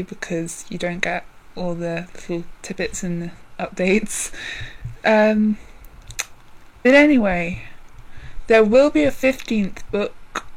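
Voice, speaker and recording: A woman vlogger giving a casual chatty update, deliberately speaking in a low voice, rambling with many ums and apologies. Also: poor audio. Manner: deliberately lowered voice.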